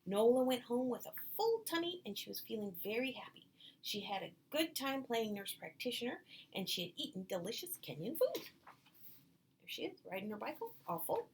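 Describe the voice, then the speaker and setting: A woman's voice: on the high side, very animated, a little fast. A woman reading a children's storybook aloud, adding small explanatory asides, with some noise in the background.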